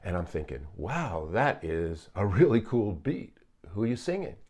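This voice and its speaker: An older man commenting on video clips as a psychologist, in a measured way with frequent pauses and fillers. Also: on the low side, sing-song, darkish tone.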